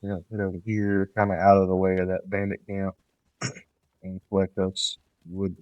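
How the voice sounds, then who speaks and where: A man talks through his gameplay in an easygoing, wandering way and drops tips as he goes. His lowish voice sounds slightly nasal because he is coming down with a cold. The close desk mic keeps the sound dry, with no room echo. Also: normal speed, dull audio. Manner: relaxed, rambling, gives tips while playing. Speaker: gameplay commentator. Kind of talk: casual let's-play commentary.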